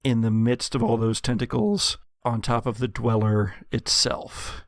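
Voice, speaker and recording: A man with a creaky voice improvising dramatic, vivid narration as the game master of a tabletop horror game. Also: mid-range voice, flat delivery, measured pace, no echo, clean studio sound. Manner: dramatic, vivid second-person storytelling.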